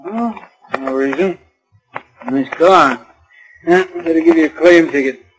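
A man's voice: a little high, animated, a little slow, fairly bright tone, poor audio. A man playing a laconic, folksy garage mechanic who talks in casual slang in a 1940s radio drama. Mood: laconic, wary. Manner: casual, slangy, hesitant mumbles. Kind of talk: 1940s radio crime acting.

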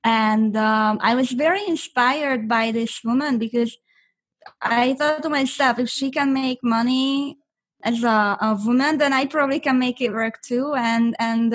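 High-voiced Hungarian woman, chatty. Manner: chatty, many fillers and restarts. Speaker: guest affiliate marketer. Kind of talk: interview answers.

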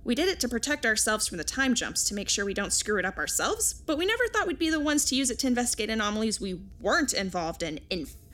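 A woman's voice: on the high side, big pitch swings, fast, few pauses, fairly bright tone. A woman playing an earnest, nerdy time-travel scientist in an audio drama, with an explanatory, technical way of talking. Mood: explaining.

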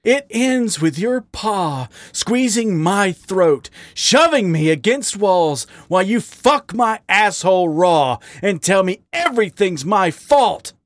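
A man's voice: high voice, very animated, slow pace, few pauses, dry recording, pitched above the performer's own voice, full character voice. A man voicing a wounded, self-mocking male raccoon who speaks with brittle wryness. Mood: aching. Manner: wry, brittle, raised voice.

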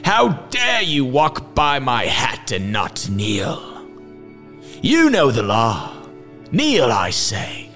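Screechy high-pitched bossy governor from a storybook, scornful, loud, screams orders. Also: male voice, sing-song, slow, hardly any pauses, soft background music, exaggerated voice. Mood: imperious anger. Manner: imperious, screaming. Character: scornful, tyrannical male governor shrieking commands. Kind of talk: theatrical storybook reading.

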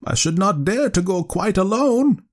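Guy doing an old spinster auntie from a ghost story, way high, eager n emphatic. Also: lively intonation, a little slow. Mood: earnest, eager. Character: excited, determined elderly spinster aunt keen on ghosts. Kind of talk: audiobook character voice.

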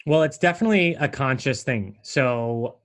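A man speaking as a guest in a conversational interview, explaining his branding method with animated energy. His voice sits at an ordinary middle pitch for a man, and he drops in frequent casual asides as he talks. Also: moderate pace.

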